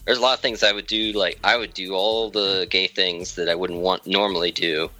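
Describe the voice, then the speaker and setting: A man from the Ohio region, a guest on a comedy podcast, joking in a slow, deliberate way. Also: low voice, flat delivery, pushed and bright, no room sound, faint music behind, low-quality recording.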